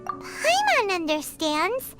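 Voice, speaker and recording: A tiny floating sprite companion who chirps in a very high, bouncy voice and talks about herself by name. She asks curious questions slowly, drawing out each word. This is video game dialogue set over loud, childlike music. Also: wildly swooping pitch, exaggerated voice.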